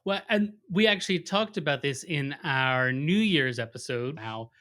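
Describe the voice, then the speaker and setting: A man's voice: on the high side, lively intonation, moderate pace, fairly bright tone. A man hosting a podcast interview, friendly and rambling, asking questions and joking about himself. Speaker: podcast host. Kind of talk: casual interview talk.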